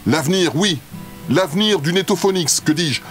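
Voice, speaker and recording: A grandiose leader of adventurers voiced by a man in a comedy audio play. He declaims in a lyrical, mock-solemn way with a slightly high voice, faint music underneath. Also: moderate pace, natural voice. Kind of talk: comedy audio drama acting.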